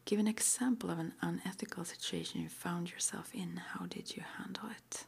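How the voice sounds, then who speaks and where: A woman whispering breathily in an ASMR roleplay, gently and hesitantly asking questions like an interviewer. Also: fairly low pitch, steady tone, a little fast. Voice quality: breathy whisper, mostly unvoiced.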